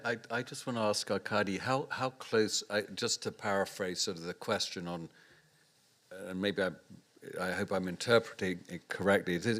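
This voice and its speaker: A man's voice: low-pitched, fairly bright tone. A young man moderating a panel in a conference room, asking a long question with many restarts. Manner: hesitant, stumbling question. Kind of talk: panel discussion.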